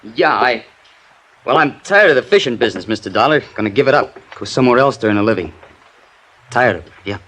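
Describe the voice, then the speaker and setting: A man's voice: mid-range voice, expressive, a little fast, fairly bright tone, poor audio. An actor playing a nervous, guilt-ridden young fisherman, stammering and pleading in 1950s radio drama style. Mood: nervous, evasive.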